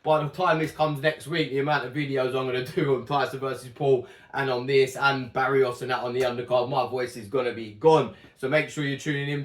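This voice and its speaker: A young man chatting casually and rambling through a fight prediction, correcting himself often, his voice a little worn. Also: medium pitch. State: slightly losing his voice. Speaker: boxing channel host. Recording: echoey room.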